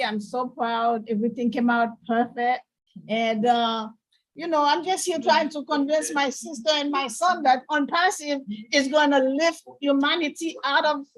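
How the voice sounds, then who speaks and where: A woman from Haiti speaking casually on a video call, proud and excited, laughing between phrases. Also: high voice, dry recording, low-quality recording. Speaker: meeting participant.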